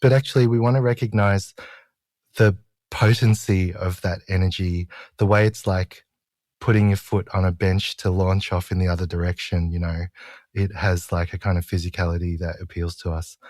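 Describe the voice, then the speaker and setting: A man's voice: low voice, steady tone, normal speed, darkish tone, no echo. A man who edits a magazine, talking casually and reflectively in an interview. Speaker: magazine editor being interviewed.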